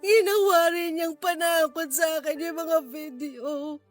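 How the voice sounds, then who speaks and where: A woman's voice: very high voice, fairly even intonation, measured pace. An actress with a Filipino accent playing a distraught, ashamed young woman in a radio drama, crying as she pleads. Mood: tearful, afraid. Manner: tearful, pleading, self-blaming. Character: distraught, ashamed young woman confessing abuse to her cousin. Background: background music.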